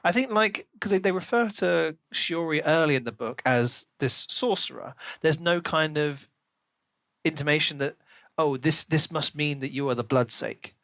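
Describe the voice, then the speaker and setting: Man, fairly high voice, podcast co-host, emphatic and opinionated, casual discussion, dry close sound. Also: lively intonation, moderate pace, gravelly, a bit bright, no room sound. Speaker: podcast co-host reviewing books.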